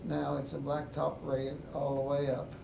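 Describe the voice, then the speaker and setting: An older man with a West Virginian accent, telling his life story in an oral history interview in an unhurried, halting way with many pauses. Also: on the high side, completely flat delivery, gravelly. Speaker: veteran interviewee. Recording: echoey room, mic at a distance, noisy.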